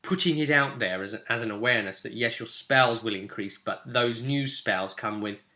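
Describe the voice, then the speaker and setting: A man's voice: mid-range voice, moderate pace, sharp tone. A man presenting a guide in an informal, explanatory way, chatty and given to tossing in rhetorical questions. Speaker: presenter of a tabletop game guide.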